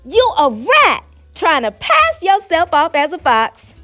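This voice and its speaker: A woman's voice: extremely high pitch, wildly swooping pitch, slow, bright, forceful tone, pitched above the performer's own voice, exaggerated voice. A woman voicing a sassy, unimpressed little girl with a rural Southern US accent in a storybook, matter-of-fact, over music. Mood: defiant. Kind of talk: storybook character voice.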